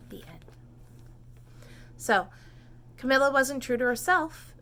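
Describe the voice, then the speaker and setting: Female storybook narrator, teacher type: bright tone, animated emphasis, pitched for young children. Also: a little hiss or hum. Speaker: teacher reading aloud.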